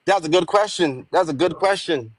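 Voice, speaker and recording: Insistent Bajan man, high voice. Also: a little slow, no room sound. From Barbados. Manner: insistent, repeats himself. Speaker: guest. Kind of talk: heated live-stream debate.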